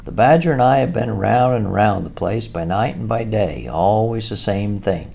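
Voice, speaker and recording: A man's voice: lowish voice, animated, moderate pace, creaky, mellow, slight background noise, voice pushed up. A man voicing a hungry, grumpy male mole in a storybook reading. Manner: grumbling, talks with mouth full.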